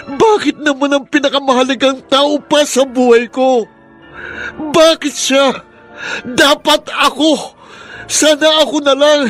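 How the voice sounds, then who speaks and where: A man's voice: very high-pitched, fairly bright tone. A Filipino man acting an affectionate, earnest teenage younger brother in a radio drama. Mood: anguished, crying out.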